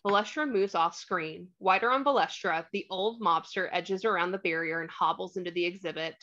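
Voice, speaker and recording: A woman's voice: on the low side, fairly quick, pushed and bright. A woman reading scripted stage directions as narrator of a screenplay table read, on a slightly dull microphone.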